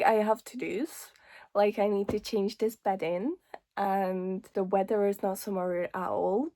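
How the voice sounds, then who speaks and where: A young woman in her early twenties, originally from Hungary, chats casually as if to friends watching her vlog. Her voice sits at a middling pitch for a woman. She is informal and talkative, in an everyday, giggly way. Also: darkish tone. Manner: chatty, informal, frequent "like" and small giggles. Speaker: lifestyle vlogger. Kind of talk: casual chatty vlog to camera.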